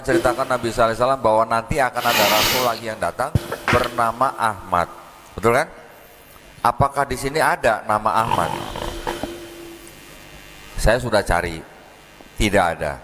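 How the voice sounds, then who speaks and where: Indonesian guy explaining religion in a big echoey hall, mid pitch, bit of noise. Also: normal speed, long pauses. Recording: noisy hall with long reverb.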